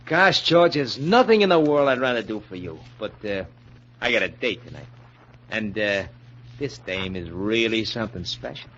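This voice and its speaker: An American actor playing a cocky, smooth-talking small-time hustler in an old-time radio crime drama, with slangy, brash delivery. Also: male voice, fairly low pitch, expressive, moderate pace, fairly bright tone. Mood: breezy. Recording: muffled, hissy old radio recording.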